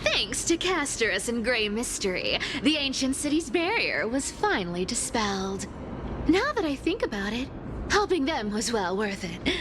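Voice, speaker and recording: A woman's voice: a little high, sing-song, normal speed, noisy background. A woman voicing a sly, playful young trickster thief in a video game, greedy and boastful, with a dramatic, teasing manner. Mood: gleeful. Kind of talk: animated video game acting.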